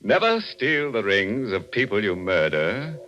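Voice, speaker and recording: An actor playing a gleeful, ghoulish horror host with sardonic, sinister delivery in 1940s radio style. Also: male voice, fairly low pitch, sing-song, a little slow, dull audio, noisy background. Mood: macabre glee. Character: ghoulish, gleeful male horror host cracking macabre puns. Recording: vintage radio broadcast with music.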